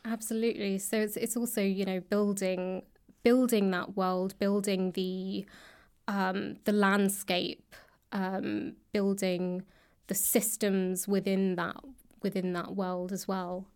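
A woman speaks casually as a guest in an interview. Her voice stays at a medium pitch with little rise or fall. She pauses and rephrases as she explains. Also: level tone, darkish tone, clear, resonant tone. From south London, England. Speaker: podcast guest, writer and actor.

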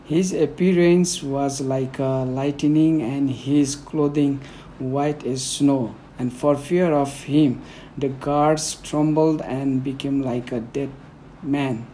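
Soft-spoken Nepali-accented man. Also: medium pitch, fairly even intonation, slow pace, a little hiss or hum, low-quality recording. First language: Newari. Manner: soft-spoken, hesitant with fillers. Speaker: guest reader. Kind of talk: conversational interview, then Bible reading.

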